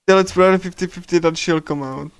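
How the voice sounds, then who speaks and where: Male streamer, smooth clean tone, close dry sound, casual commentary and stumbling read-aloud with repeated words. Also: sits high, fairly even intonation, no room sound. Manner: halting, repeats and stumbles while reading. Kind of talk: casual game livestream commentary and reading aloud. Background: game music.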